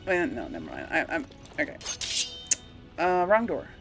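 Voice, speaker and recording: A woman's voice: deep voice, wildly swooping pitch, normal speed, vocal fry, over music. A woman giving casual, rambling game commentary, absent-minded and thinking aloud as she plays. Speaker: gamer hosting a role-play playthrough series.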